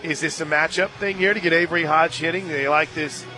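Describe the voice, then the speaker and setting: A man doing live radio play-by-play of softball, conversational between pitches and excited on the action. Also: fairly quick. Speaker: play-by-play announcer. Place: radio booth at an outdoor ballpark. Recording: crowd and stadium music behind. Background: crowd, loudspeaker music.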